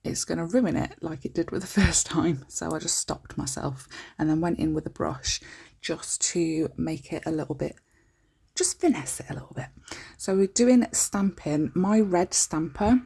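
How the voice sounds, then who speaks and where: A woman talks through a nail art tutorial, her voice croaky and groggy. The tone sits a little low, gravelly with vocal fry, and husky. She chats casually and keeps stopping to correct herself. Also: dark tone. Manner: chatty and informal, breaks off often to correct herself.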